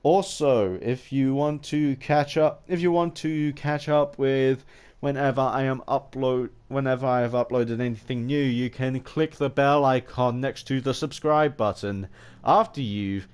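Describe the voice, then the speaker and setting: A man giving an unscripted opinion ramble as a gaming vlogger, halting and often restarting his phrases. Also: medium pitch, steady tone, clean tone.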